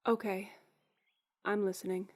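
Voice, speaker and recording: A woman's voice: mid-range voice, unhurried, close to an everyday voice. A young woman playing an earnest, self-doubting and stubborn girl in a fan visual novel drama. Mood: subdued, curious. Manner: earnest, expressive, questioning.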